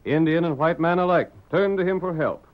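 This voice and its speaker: A man's voice: fairly high pitch, fairly quick. An American man narrating a 1930s radio serial in a dramatic, declamatory announcer's style. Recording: dull lo-fi 1930s radio recording.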